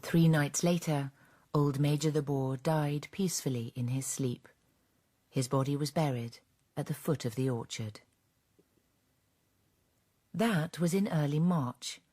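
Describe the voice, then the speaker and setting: A woman narrating a scripted radio drama in a measured storytelling manner. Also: low voice, dark tone.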